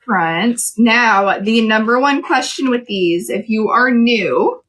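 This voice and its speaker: A woman in her late thirties with a somewhat high, bright-sounding voice hosts a live craft stream. She chats easily, laughs often, and talks viewers through each step of the project. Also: slow, poor audio. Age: 30s. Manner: chatty, laughs often, explains steps. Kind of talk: casual chat while crafting live.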